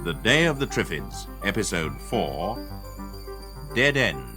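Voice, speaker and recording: Slow, solemn radio serial announcer. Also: male voice, on the low side, sing-song, over music.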